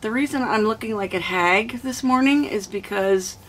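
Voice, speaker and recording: An older woman with a hoarse throat, chatting casually through a cooking vlog in a small camper kitchen. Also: medium pitch, normal speed, poor audio. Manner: chatty, homey, rambling. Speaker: cooking vlogger.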